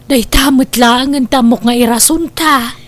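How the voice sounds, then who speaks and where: A woman's voice: sits high, unhurried. A woman with an Ilocano Filipino accent playing a scheming, guilt-ridden mother in an audio drama. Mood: hushed, scheming. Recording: music under the voice.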